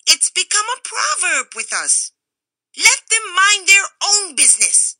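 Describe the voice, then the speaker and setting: A fretful man with a little squeak in his voice, high and loud with indignation, voiced by a woman reading aloud. He complains in a bright, pinched tone. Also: very animated, measured pace, no echo, heavily acted. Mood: indignant. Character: fretful male cameo cutter with squeaky voice.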